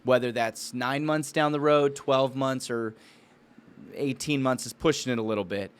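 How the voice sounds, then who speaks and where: A man's voice: medium pitch, steady tone, moderate pace, smooth. A man chats as a podcast co-host in a mid-range voice. He talks informally, like someone telling a story to a buddy. The style is loose, conversational and a bit bro-ish. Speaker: podcast co-host and business owner. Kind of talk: casual conversational podcast talk.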